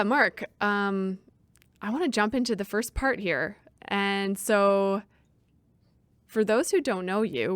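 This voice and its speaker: A young woman from Canada hosts the interview with an easygoing, chatty friendliness. Her voice is mid-pitched for a woman. The recording is dry and close. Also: no echo. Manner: friendly, chatty, with fillers and laughs. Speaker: podcast host. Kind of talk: casual interview.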